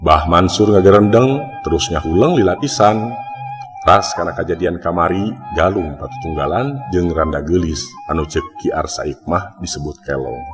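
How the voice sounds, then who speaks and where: A man's voice: sits low, animated, moderate pace. A man with a Sundanese accent, dramatically narrating a mystery folk tale as a storyteller. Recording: long reverb, music behind.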